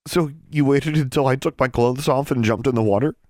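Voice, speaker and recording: A man's voice: deep voice, animated, fast talker, creaky, husky, no room sound, studio quality, exaggerated voice. A man voicing a stubborn teenage witch girl who stammers through chattering teeth, indignant.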